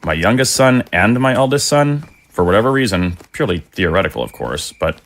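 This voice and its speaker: A middle-aged man playing a devoted, gentle undead father in an audio drama, speaking in a halting, earnest way. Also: fairly low pitch, big pitch swings, heavily acted. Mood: tender, reflective.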